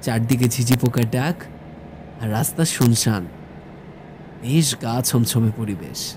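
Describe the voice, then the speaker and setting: A man narrating a scripted first-person horror story in a measured storytelling manner. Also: medium pitch, noisy background. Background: background music.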